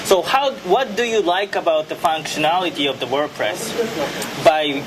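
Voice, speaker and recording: A man hosting an interview in a convention hallway, asking casual questions in a halting way with many fillers. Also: fairly high pitch, poor audio. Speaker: interview host. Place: hot hallway at a convention event. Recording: busy convention crowd behind.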